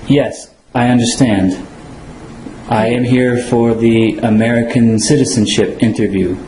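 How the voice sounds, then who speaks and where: A man's voice: mid-range voice, fairly even intonation, a little slow, mellow, a little hiss or hum. An older man from Venezuela answering citizenship interview questions in short, complete, careful sentences. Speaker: citizenship applicant in a mock interview. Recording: roomy echo with faint ambience.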